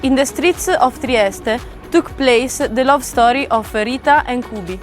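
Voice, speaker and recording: A woman's voice: high-pitched, measured pace, loud music behind the voice. A woman with an Italian accent narrating a story in a lightly conversational way.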